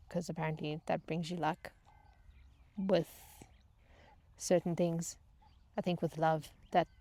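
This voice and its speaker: A woman's voice: fairly low pitch, a little mellow, no room sound. Dramatic woman telling a casual personal story. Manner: dramatic, self-mocking, uses repetition for emphasis. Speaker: storytelling vlogger and former yacht crew member.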